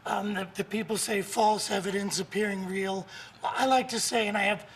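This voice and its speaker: A hoarse man with laryngitis, a guest author and coach talking in an upbeat, emphatic, motivational way on a morning show. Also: high voice, steady tone, normal speed, a bit bright, slight background noise.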